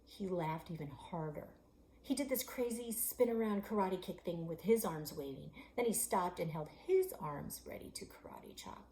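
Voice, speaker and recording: Woman librarian-author, low-ish voice, lively read-aloud for kids with whispered touches. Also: moderate pace, slight background noise. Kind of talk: reading her novel aloud for children.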